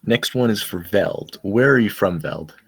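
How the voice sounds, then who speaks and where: Male moderator, very low and husky, dry close sound, matter-of-fact. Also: expressive, measured pace, fairly bright tone, no room sound. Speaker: moderator reading questions. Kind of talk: reading audience questions.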